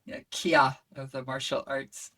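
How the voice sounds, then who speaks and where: A woman voice coach explaining her ideas in an animated, conversational way and breaking into demonstration sounds. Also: a bass voice, moderate pace. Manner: expressive, demonstrates voices with yawns, howls and shouts. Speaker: voice coach guest.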